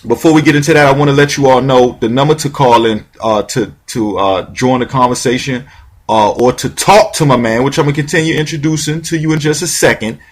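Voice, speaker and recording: A man's voice: mid-range voice, a little fast. A man hosting a talk show, rambling with lots of restarts and casual slang. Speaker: radio show host.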